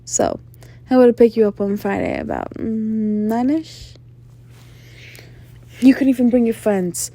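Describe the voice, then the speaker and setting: A woman's voice: a little high, huge pitch swings, vocal fry, warm tone, heavily acted. A woman playing a flirtatious, sly mafia boss in an ASMR roleplay, coy and self-assured, with teasing hums and murmurs. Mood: playful, bossy. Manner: coy, teasing, drawn-out hums and knowing laughs.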